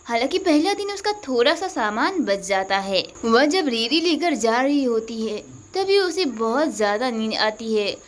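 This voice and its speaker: A woman's voice: a little high, big pitch swings, normal speed, few pauses. A woman narrating a scripted cartoon story as a storyteller.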